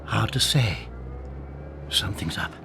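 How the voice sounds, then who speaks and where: Anxious father fox for audio drama: slow, swooping delivery over loud ambience. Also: lowish voice, expressive, creaky, noisy background. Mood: wary, hushed. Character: wary father fox, alarmed for his family. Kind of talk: dramatized children's audiobook acting.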